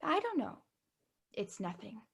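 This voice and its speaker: A woman's voice: on the high side, sing-song, unhurried, gravelly, breathy edge, full character voice. A woman reading a ditzy, opportunistic young woman in a virtual staged reading, chatty and gossipy. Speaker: also reads stage directions. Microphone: video call.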